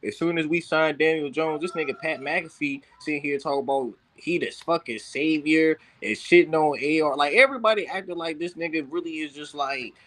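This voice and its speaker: A man's voice: on the high side, fairly quick, hardly any pauses, fairly bright tone. A man with a slightly raised voice argues sports in a casual panel debate. He is insistent and slangy, swearing freely as he makes his case.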